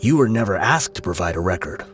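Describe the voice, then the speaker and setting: An actor playing a level-headed, weary desert mercenary in a video game, explaining things in a measured, serious way. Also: male voice, low-pitched, animated. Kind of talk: scripted game voice acting. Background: background music.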